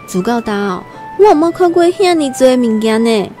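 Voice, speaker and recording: A woman's voice: high voice, animated, moderate pace, mellow, soft background music. An actress with a Taiwanese accent playing a timid, earnest young country girl new to the city in a radio drama. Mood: wide-eyed wonder. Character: timid nineteen-year-old country girl new in Taipei. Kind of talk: Taiwanese radio drama, first-person storytelling and dialogue.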